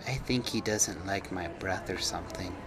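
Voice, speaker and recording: A man's voice: deep voice, normal speed, noisy background, close to an everyday voice. A man voicing a timid, earnest little boy in a storybook reading, with a hesitant, childlike manner. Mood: earnest, polite.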